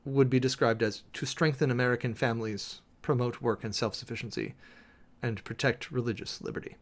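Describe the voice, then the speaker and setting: A man giving a dramatic reading and close commentary in a formal, deliberate, sermon-like manner with emphatic pauses. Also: mid-range voice, fairly even intonation. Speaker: preacher and commentator hosting a show.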